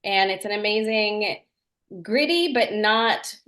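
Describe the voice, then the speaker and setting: A woman's voice: mid-range voice, slow pace, fairly bright tone. A woman from Norway, an actress, answering interview questions in an earnest, enthusiastic way with small laughs. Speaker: actress, guest.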